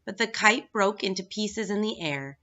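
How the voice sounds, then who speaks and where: A woman's voice: medium pitch, expressive, normal speed, sharp tone. A woman reading a children's story aloud as scripted narration. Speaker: staff reader at a school. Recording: dull, lo-fi recording.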